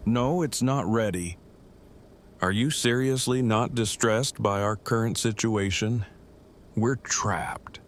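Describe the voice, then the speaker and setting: A man's voice: on the low side, slow pace, slight background noise. An actor playing an anxious, defensive man who talks in pedantic, stilted, overly formal phrases in an audio drama. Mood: tense, indignant.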